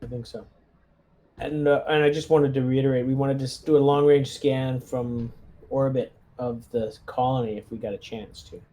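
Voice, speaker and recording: A man's voice: mid-range voice, steady tone, moderate pace, dark tone. A man chatting casually as a player in a tabletop roleplaying game, talking in a tentative, hedging way. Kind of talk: casual game planning chat.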